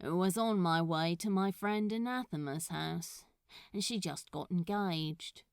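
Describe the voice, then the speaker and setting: A woman voicing a blunt, sardonic but soft-hearted man in casual, exclamatory fan fiction dialogue. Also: on the low side, unhurried, smooth, no room sound, studio quality, pitched below the performer's own voice. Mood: wry.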